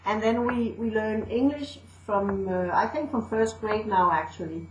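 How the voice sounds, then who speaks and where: A woman's voice: medium pitch, a little slow, roomy sound, a little hiss or hum, poor audio. A woman from Denmark with a Danish accent, a storyteller and educator chatting in an interview with fillers and restarts. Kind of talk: casual interview talk.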